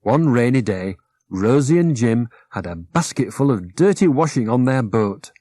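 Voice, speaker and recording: A man's voice: mid-range voice, lively intonation, normal speed, mellow, faint music behind. A British man reading children's stories aloud as the narrator of an audiobook. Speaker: author and narrator.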